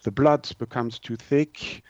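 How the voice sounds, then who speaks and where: Halting man, slightly muffled sound. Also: mid-range voice, steady tone, darkish tone, no room sound. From Switzerland. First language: French. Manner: halting, hesitant delivery with word searching. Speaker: naturopath, interview guest. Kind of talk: explanatory interview answers.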